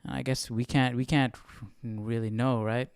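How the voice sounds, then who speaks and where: A man hosting a Bible study, talking casually and reflectively, often thinking out loud and posing questions. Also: medium pitch, level tone, moderate pace. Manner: musing aloud, questioning. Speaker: host of a live Bible study stream.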